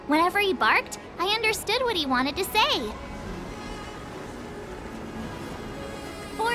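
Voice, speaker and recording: A woman voicing a sweet, cheerful young girl who loves cute animals, expressive and playful, in a video game. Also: very high voice, full character voice. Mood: friendly, curious. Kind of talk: video game character voice acting.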